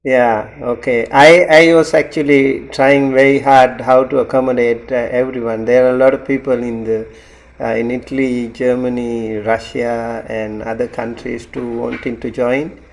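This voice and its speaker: A man's voice: medium pitch, flat delivery, unhurried, creaky, low-quality recording. A man teaching a class as a monk, welcoming students over a video call with frequent ums and light laughs.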